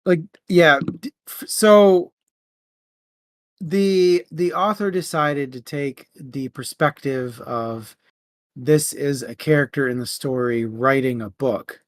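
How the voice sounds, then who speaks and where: A man in a casual book discussion, informal and halting as he searches for his words. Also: mid-range voice, steady tone, no room sound, studio quality.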